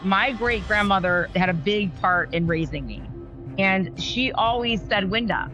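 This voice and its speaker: A woman co-hosting a podcast, chatting in a lively, animated way with frequent ums. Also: medium pitch, unhurried, dull audio, music in the background. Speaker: podcast co-host. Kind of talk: casual chat.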